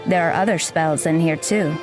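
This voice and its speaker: An actress playing a blunt, aloof young magical mentor who talks in a dry, deadpan way in an audio drama. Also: female voice, sits low, normal speed, lightly acted. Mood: instructive. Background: background music.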